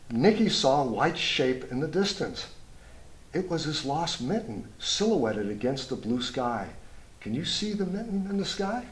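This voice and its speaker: A man reads a children's picture book aloud in an expressive storytime style, pausing to point things out and ask young listeners questions. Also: a little high, poor audio. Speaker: storybook reader, school superintendent.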